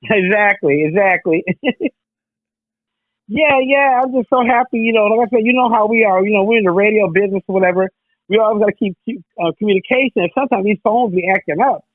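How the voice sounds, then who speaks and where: A woman's voice: mid-range voice, fairly quick, no room sound. A woman hosting a radio interview, chatty and full of "you know". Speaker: radio host. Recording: tinny phone line.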